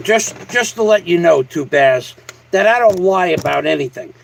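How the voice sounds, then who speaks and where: A man's voice: high voice, expressive, normal speed, creaky, slight background noise, poor audio. A man live streaming casually to his viewers, talking in a combative, boastful, foul-mouthed way. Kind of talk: casual livestream rant to a viewer.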